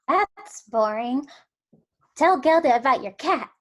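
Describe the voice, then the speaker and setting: A woman's voice: high voice, expressive, takes it slowly, exaggerated voice. A woman voicing a blunt, impatient alien trainer in a comedy table read. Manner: blunt, commanding.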